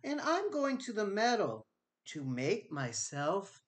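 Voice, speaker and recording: Man as a tiny timid high-voiced billy goat. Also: wildly swooping pitch, takes it slowly, full character voice. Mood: timid pleading. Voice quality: very small, soft voice.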